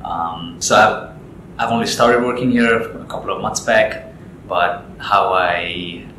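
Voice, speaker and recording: A young man from Nepal, a guest in an interview, talking in a relaxed, rambling way with lots of fillers and restarts. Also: low-pitched, takes it slowly, noisy background. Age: young adult. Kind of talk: casual interview chat. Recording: echoey room with loud music.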